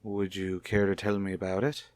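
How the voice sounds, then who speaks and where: A man's voice: deep voice, flat delivery, normal speed, darkish tone, no echo, studio quality, close to an everyday voice. A man playing a suspicious husband in an old-time radio soap, speaking with formal, pointed politeness. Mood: concerned, skeptical. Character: suspicious, coolly courteous husband, probing his wife.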